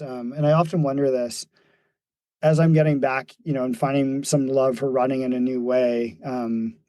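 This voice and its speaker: A man's voice: mid-range voice, warm tone, dry recording, low-quality recording. Guy in his forties musing on a podcast, lots of ums and restarts, dry close mic. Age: 40s. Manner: thoughtful, many fillers and restarts, laughs easily. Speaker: podcast guest, mountain runner. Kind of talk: reflective casual conversation.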